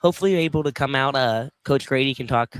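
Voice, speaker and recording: A man giving casual, analytical color commentary on a live basketball game, often pausing mid-thought. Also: medium pitch, a bit bright, smooth. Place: courtside at a college basketball game.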